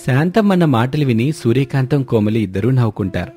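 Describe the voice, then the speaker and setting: Mellow man narrating a story. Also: medium pitch, very animated, a little fast. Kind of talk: scripted narration of a moral story.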